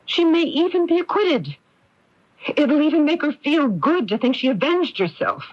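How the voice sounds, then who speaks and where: An actress playing a middle-aged sister in a radio mystery, sweet on the surface but defensive, with an emphatic, theatrical delivery on a radio broadcast recording. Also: female voice, sits high, expressive, normal speed, dull audio. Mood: defensive, pleading. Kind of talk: old-time radio mystery drama acting.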